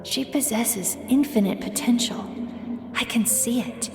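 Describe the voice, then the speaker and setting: Echoing, creaky nostalgic warrior woman. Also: fairly high pitch, unhurried. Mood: fond. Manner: reflective, affectionate. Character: fond, nostalgic adult warrior woman of the springs. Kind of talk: video game monologue. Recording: long echoing reverb.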